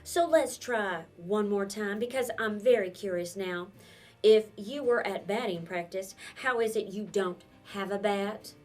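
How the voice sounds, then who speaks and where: A woman voicing a wary, blunt middle-aged woman with a wooden leg, who fires off sharp, probing questions. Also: mid-range voice, a little slow, natural voice. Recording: distant mic with faint instrumental music.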